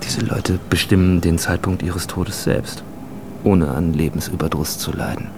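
Deep, gravelly, quietly menacing stranger. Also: male voice, a little slow, background noise. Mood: probing. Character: calmly menacing, philosophising male stranger. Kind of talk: German radio drama acting.

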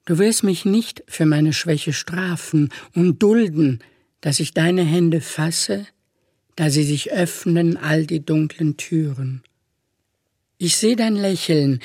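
A woman reciting poetry with measured, lyrical phrasing. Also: deep voice, a little mellow, slightly rough, no room sound, studio quality. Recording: music bed behind voice. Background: music.

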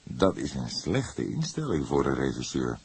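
A man voicing an older grey-haired police detective in an audiobook, calm, wry and disapproving, speaking in an unhurried, lecturing way. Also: extremely low pitch, creaky, slightly rough, heavily acted. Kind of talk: audiobook character voice.

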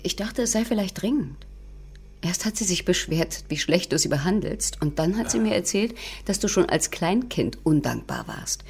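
Low, mellow, resolute female ally. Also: lively intonation, moderate pace, vocal fry, a little hiss or hum. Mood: teasing, determined. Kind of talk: German radio drama acting.

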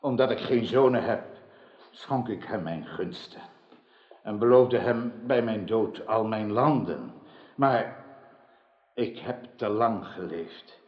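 An actor playing an old, wronged king in a radio drama, solemn and sorrowful, with halting, archaic speech. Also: lowish voice. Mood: bitter, sorrowful. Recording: dull, roomy radio drama recording.

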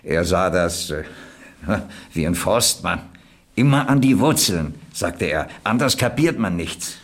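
Working class stoker in a radio play, low voice, folksy and reflective, plain talker. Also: male voice, sing-song, unhurried, full character voice. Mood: explaining, admiring. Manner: folksy, ruminative storytelling. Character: plain-spoken working man, boiler-room stoker, reflective. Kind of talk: German radio crime drama acting.

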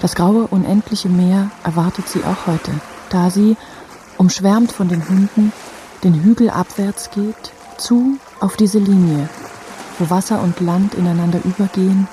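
A woman narrating a radio drama in a measured, literary, repetitive manner. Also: mid-range voice, fairly even intonation, warm tone, a little hiss or hum, natural voice. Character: detached female storyteller linking scenes. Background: music.

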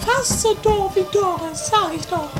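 Really high squeaky bossy sister, haughty and exclaiming, music behind, trashy radio comedy. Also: unhurried. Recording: music under amateur 1980s radio play.

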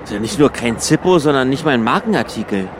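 Quick-talking dry man. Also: medium pitch, lively intonation, background noise. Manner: dry, sceptical. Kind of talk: improvised radio comedy chat.

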